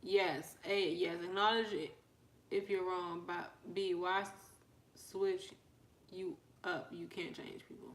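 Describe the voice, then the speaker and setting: A woman's voice: fairly low pitch, fairly even intonation, takes it slowly, roomy sound. A woman hosting a casual livestream, chatting with viewers, reading their comments and welcoming newcomers. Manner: chatty, asks viewers questions, shouts out newcomers. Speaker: livestream host.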